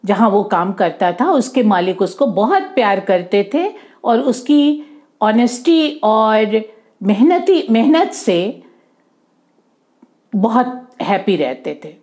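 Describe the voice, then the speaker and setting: A woman's voice: a little high, sing-song, takes it slowly, darkish tone. A woman telling a moral story to children, often rephrasing herself and mixing in English words. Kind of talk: oral moral storytelling for kids.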